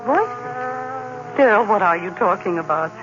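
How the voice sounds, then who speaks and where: An actress playing a devoted, concerned fiancée in an old-time radio drama, theatrical and solicitous. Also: female voice, medium pitch, lively intonation, moderate pace, lightly acted. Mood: alarmed. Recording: dull old radio recording with hiss.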